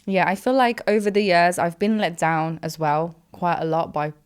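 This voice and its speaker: A young woman from Poland talks as a guest in a relaxed interview. Her voice sits a little low and sounds smooth and resonant. She rambles along with plenty of fillers. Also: moderate pace. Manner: chatty, rambling, with frequent fillers and laughs. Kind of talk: casual interview chat.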